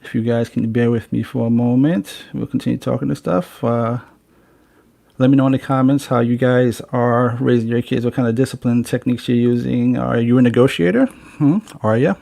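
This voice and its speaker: A man's voice: medium pitch, normal speed, gravelly, dark tone. A man hosting a casual podcast, talking bluntly and swearing freely. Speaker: podcast host.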